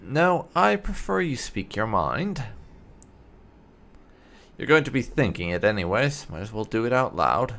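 A man's voice: mid-range voice, very animated, a little slow, vocal fry, pauses often, fairly bright tone. A man hosting a roleplay game playthrough, commenting and voicing his choices in a casual way. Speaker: gaming host. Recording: game ambience faintly behind voice.

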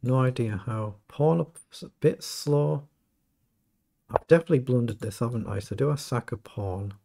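Croaky man muttering through a chess game. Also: mid-range voice, normal speed, dark tone. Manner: thinking aloud, muttering over moves. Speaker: chess streamer. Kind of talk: live game commentary.

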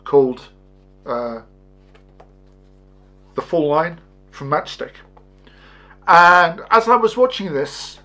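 A man in his forties hosts a casual review, speaking slowly in a fairly high-set voice. His delivery is halting, and he often checks in with a tagged question at the end of a statement. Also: fairly bright tone. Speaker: host, film reviewer. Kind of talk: casual spoken review to camera. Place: small indoor set with curtain backdrop.

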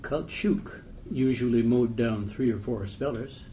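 Mellow-voiced man reading poetry, noisy recording. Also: medium pitch, a little slow. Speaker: poetry reader. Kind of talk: literary poem reading.

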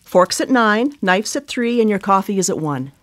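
A woman voices a sharp-tongued sister who teases her brother with blunt, dry jabs. Her voice sits at an ordinary middle pitch for a woman. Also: expressive, normal speed, lightly acted. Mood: teasing. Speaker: supporting character in a radio drama. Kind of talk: live radio drama acting.

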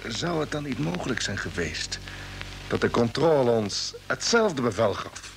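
An actor playing a determined, earnest spaceship commander in an old Dutch radio drama, speaking emphatically. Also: male voice, medium pitch, big pitch swings, unhurried, creaky, faint music behind. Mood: resolute. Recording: noisy vintage radio play recording.

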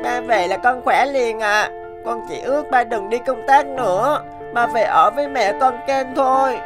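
A woman's voice: on the high side, moderate pace, over music, natural voice. A woman voicing an earnest little boy devoted to his mother in a cartoon drama. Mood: innocent.

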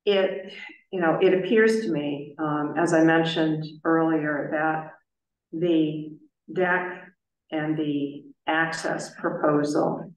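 A middle-aged woman chairs a town planning board in a meeting room, her low voice slow and deliberate. she weighs each point with lots of um's. the sound is roomy and echoey, picked up from well across the room. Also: steady tone, distant mic. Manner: measured and careful, many filler pauses.

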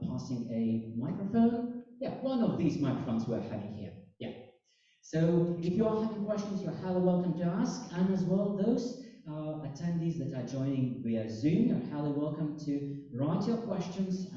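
A man moderating a school panel discussion, halting with many fillers. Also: medium pitch, mellow. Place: large conference hall. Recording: roomy hall, distant mic, dull sound.

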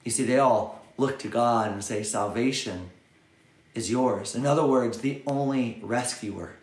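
Earnest pastor preaching in an echoey room. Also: male voice, mid-range voice, unhurried. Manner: earnest, animated, swings between loud urging and soft prayer. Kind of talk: short video sermon to camera. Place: bright home room with windows, indoors. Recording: echoey room, faint music behind.

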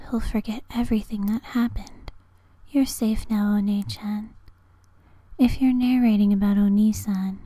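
A woman playing an eerie, aloof young vampire girl in an amateur anime dub audition, breathy, languid and hushed. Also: on the high side, no room sound. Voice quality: breathy, whispery.

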